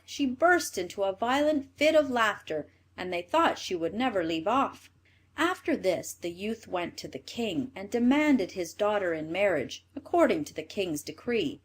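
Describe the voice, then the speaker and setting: A woman reading a scripted fairy tale as a storyteller, in an expressive manner. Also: medium pitch, moderate pace.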